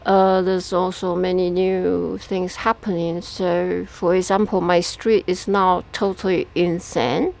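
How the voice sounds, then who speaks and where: A woman from Taiwan talks casually as an interview guest. Her voice sits on the low side with a clearly creaky, fry-laden edge, and she speaks non-native English haltingly, with pauses. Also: steady tone, a little mellow, rough recording. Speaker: interview guest, media artist.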